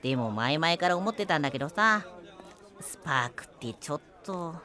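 A woman playing a cheeky young minstrel companion in a Japanese audio drama, lively, teasing and encouraging. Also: low-pitched, a little fast.

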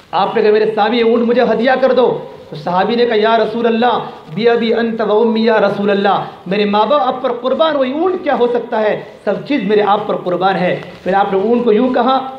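Male preacher, high voice, emphatic religious storytelling with Arabic quotations, dull lo-fi recording. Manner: emphatic, repeats phrases for effect. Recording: echoey, dull lo-fi, faint noise.

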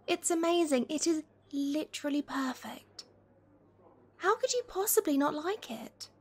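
A woman playing a playful, affectionate young best friend in a gentle, teasing ASMR roleplay. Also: sits high, animated, a little slow. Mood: playful disbelief. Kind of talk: gentle ASMR roleplay, one-sided conversation.

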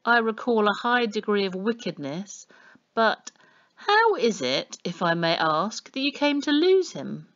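A woman's voice: mid-range voice, big pitch swings, a little slow, a bit bright, voice pushed up. Storybook policeman performed by a woman: pompous, sneering, mock-polite menace, dull-sounding recording. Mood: suspicious. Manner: mock-polite, snapping, sarcastic.

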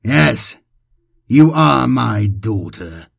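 A man's voice: mid-range voice, big pitch swings, very slow, creaky, husky, no echo, heavily acted. A man voicing a proud, cold scientist and father, speaking formally and with dry detachment in an audiobook. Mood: reflective. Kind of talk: audiobook character voice.

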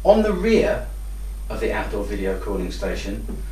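Man in an echoey room, lively intonation. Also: lowish voice, normal speed, a little hiss or hum. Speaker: product demonstrator. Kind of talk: instructional product demonstration. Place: indoor room with hard walls. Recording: roomy echo, distant microphone.

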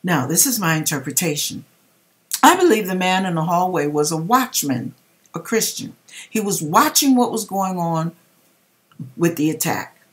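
A middle-aged woman reading aloud and commenting in a deliberate, dramatic way with pauses, recorded in a home kitchen. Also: fairly low pitch. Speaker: vlogger reading a viewer's dream and commenting. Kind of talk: expressive read-aloud with religious commentary.

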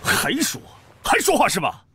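A man's voice: high-pitched, wildly swooping pitch, moderate pace, pushed and bright, slight background noise, heavily acted. A man playing an aggressive, bullying tough in an audiobook, barking menacingly. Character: bullying, aggressive male thug or soldier.

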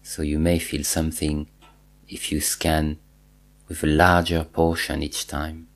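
A man guiding a body scan meditation, giving measured instructions in short phrases with pauses and repetition. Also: rumbling low, level tone. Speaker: meditation teacher.

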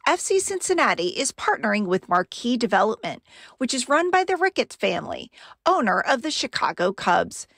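A woman in her forties narrating a news report as an investigative reporter, in a crisp, measured delivery. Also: on the high side, sing-song, fairly bright tone, no room sound, studio quality. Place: outdoors beside a parking garage.

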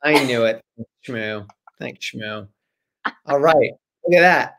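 A man in his forties, an author guest, casually answering questions over a video call from a room at home in an animated, jokey way. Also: mid-range voice, slow pace, background noise.

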